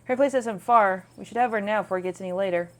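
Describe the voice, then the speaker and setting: A woman's voice: mid-range voice, fast talker, lightly acted. A woman voicing an eager young adult woman who investigates strange creatures in a video game, casual, plain-spoken and earnest. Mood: determined.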